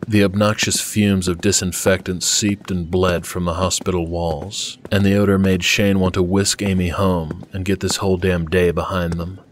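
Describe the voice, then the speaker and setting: A man's voice: low voice, level tone, normal speed, hardly any pauses, a little hiss or hum. A man reading scripted fiction as an audiobook narrator.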